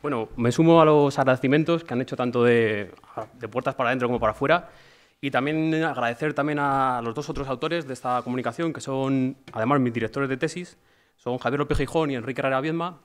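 Young male researcher, brisk informal thank-you speech at a conference podium, mid-range voice. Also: medium pitch. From Madrid region, Spain. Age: young adult. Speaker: award recipient, doctoral researcher. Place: auditorium stage at a conference. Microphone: gooseneck podium microphone.

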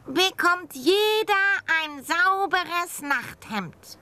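A prim duck nurse from a children's audio play. The voice is extremely high and piercing, with slow, stretched announcements. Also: female voice, lively intonation, pushed and bright, dry recording, heavily acted. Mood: officious.

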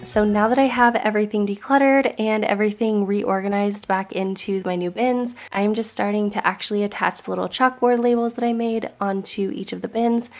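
Woman, 30s, home vlogger; bright chatty delivery, enthusiastic, recorded at home on a close camera. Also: mid-range voice. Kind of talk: casual voice-over and to-camera chat. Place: at home, in a storage closet and upstairs hallway.

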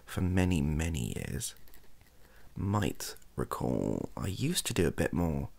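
A young man chatting softly in a rambling, self-deprecating ASMR style, hesitant and husky, close to the microphone. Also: very deep voice, big pitch swings, slow. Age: young adult. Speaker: ASMR video creator. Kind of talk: softly spoken ASMR chat. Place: small bedroom at a desk.

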